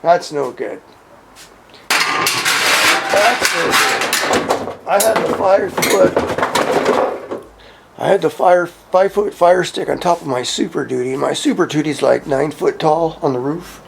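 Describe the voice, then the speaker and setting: An American man with a gravelly voice, talking casually and informally as a hobbyist vlogger. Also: on the high side, takes it slowly, long pauses, heavy background noise. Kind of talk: casual hands-on gear talk.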